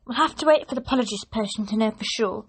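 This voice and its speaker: A woman playing a brisk, clever schoolgirl witch in an audio drama, confident and matter-of-fact. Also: a little high, husky. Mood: assured.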